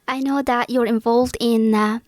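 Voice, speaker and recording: A woman's voice: on the high side, steady tone, moderate pace, no echo, clean studio sound. A woman hosting a podcast interview, encouraging her guest with many short affirmations. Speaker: podcast host.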